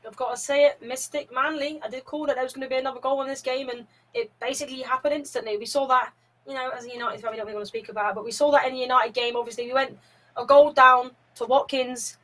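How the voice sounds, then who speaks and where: A woman's voice: sits high, steady tone, fast talker, a bit bright, distant mic, poor audio. A woman giving chatty, upbeat color commentary on a video game football match.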